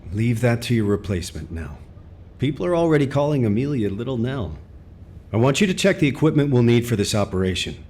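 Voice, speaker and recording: A man's voice: medium pitch, very animated, fairly quick, warm tone, lightly acted. A man voicing an authoritative, caring commander who speaks in a composed, decisive way. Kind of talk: game cutscene dialogue.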